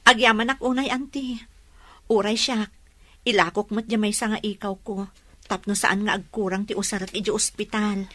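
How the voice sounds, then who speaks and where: A woman with an Ilocano accent playing an ailing but resolute mother in a radio drama, speaking earnestly in a plaintive, measured way. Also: medium pitch, steady tone, sharp tone, no room sound. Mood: grateful, resolute.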